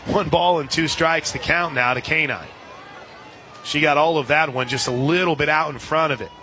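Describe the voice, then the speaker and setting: A man calling a softball game live as a radio play-by-play announcer, in a folksy, chatty way with listeners. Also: a little high. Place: outdoor softball stadium. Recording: loud crowd and outdoor stadium noise. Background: crowd noise, outdoor ambience.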